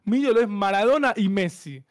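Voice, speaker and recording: A man's voice: sits high, expressive, a little fast. A young man with an Argentine accent arguing insistently in an informal football debate. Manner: argumentative, insistent. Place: indoors, home streaming setup on a group video call.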